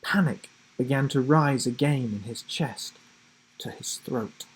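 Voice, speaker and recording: A male storyteller reads a children's book with drama and suspense. His voice is medium in pitch and slightly husky. He takes his time, leaving deliberate pauses and animating the action. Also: rough recording. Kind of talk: dramatic scripted storybook narration.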